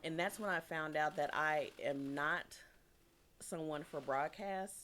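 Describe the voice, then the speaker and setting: Deep-voiced woman telling a laughing story. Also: unhurried, a little hiss or hum. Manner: laughs often, animated storytelling. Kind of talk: casual chat.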